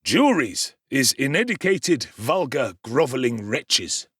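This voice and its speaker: A man voicing a pompous, quick-tempered parish beadle who speaks in a blustering, contemptuous manner in a dramatic audiobook. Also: medium pitch, big pitch swings, fairly bright tone, breathy edge, dry recording, clean studio sound, voice pushed up, heavily acted.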